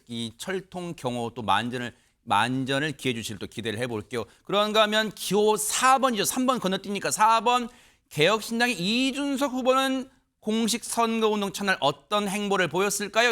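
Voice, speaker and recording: A male news host with a high, bright voice. He talks in an animated, conversational style, tossing questions to his panel and chiming in often. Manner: animated, frequent interjections.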